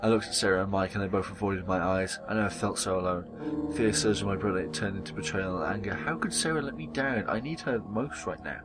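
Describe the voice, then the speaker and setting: Low-voiced man reading a game aloud. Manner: dramatic read-aloud, acting out several characters. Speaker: gaming video host. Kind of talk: reading visual novel dialogue aloud with casual commentary.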